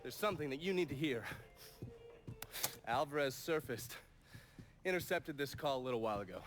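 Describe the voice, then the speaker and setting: A man's voice: medium pitch, expressive, normal speed, natural voice. A man with an American accent playing a hard-bitten, cynical ally in a crime video game, blunt and sardonic. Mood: dry, matter-of-fact. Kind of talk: video game cutscene acting. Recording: music behind the voice.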